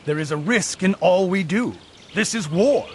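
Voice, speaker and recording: An actor playing a proud, defiant noble war leader in a video game cutscene, speaking with stirring command. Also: male voice, high-pitched, animated, normal speed, a little hiss or hum. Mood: scheming. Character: bold male noble warlord, proud and defiant.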